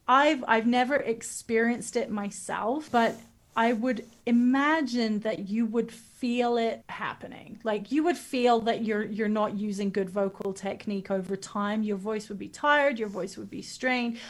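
A woman's voice: a little high, unhurried. A woman vocal coach answering interview questions casually, explaining with everyday comparisons and demonstrating sounds.

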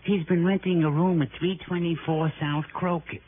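A man's voice: on the high side, fairly even intonation, moderate pace, lightly acted. A man playing a sly, grasping small-time informer, streetwise and wheedling, in an early 1960s radio drama. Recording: hissy, muffled old radio broadcast.

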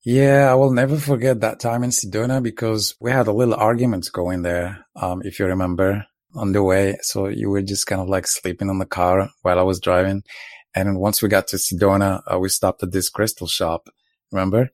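A man's voice: low-pitched, level tone, a little fast, dry recording, studio quality. Laid-back man telling a rambling story. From Morocco. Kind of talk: casual couple storytelling.

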